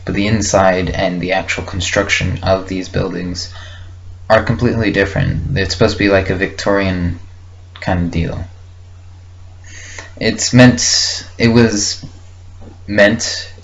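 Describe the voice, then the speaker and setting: A young man recording a casual, unscripted audio log, thinking aloud hesitantly and reflectively in short fragments. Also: deep voice. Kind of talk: casual unscripted rambling monologue. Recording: muffled lo-fi with faint background noise.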